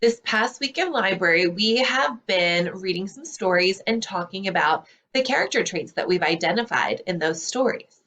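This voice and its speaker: A woman speaking as a school librarian, explaining things clearly in a read-aloud lesson for young children. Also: medium pitch, expressive, normal speed, a bit bright. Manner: clear, explanatory teacher talk.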